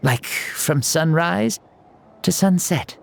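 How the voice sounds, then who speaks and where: A woman's voice: lowish voice, animated, slow pace, creaky, slight background noise, exaggerated voice. A woman playing an ancient resurrected shaman ruler in a fantasy game, theatrical and shifting between playful and stately. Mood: light, casual. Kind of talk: scripted fantasy game voice acting. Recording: game music behind the voice.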